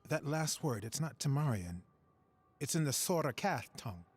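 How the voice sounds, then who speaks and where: Grave, formal fantasy marshal. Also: male voice, fairly high pitch, big pitch swings, moderate pace, husky. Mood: solemn. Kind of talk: fantasy video game acting.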